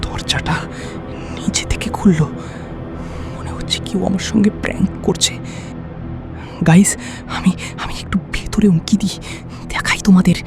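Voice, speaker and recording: A man's voice: high-pitched, steady tone, a little slow, gravelly, pauses often. A man playing a cocky young livestreamer whose bravado cracks into fear as he chats hesitantly to his viewers. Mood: nervous, frightened. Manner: chatty, hesitant, addresses viewers directly. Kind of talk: acted found-footage livestream monologue. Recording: background music, some ambient noise.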